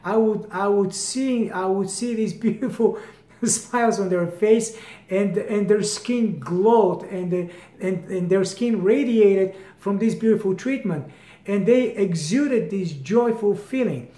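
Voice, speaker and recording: A man's voice: high voice, takes it slowly, mellow. A Bulgarian-accented man in his fifties, a brand founder speaking straight to camera at home in an earnest way, often restarting his phrases. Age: 50s. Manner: earnest, repeats and restarts phrases, gestures broadly with both hands. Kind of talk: direct-to-camera promotional talk. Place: home hallway indoors. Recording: echoey room.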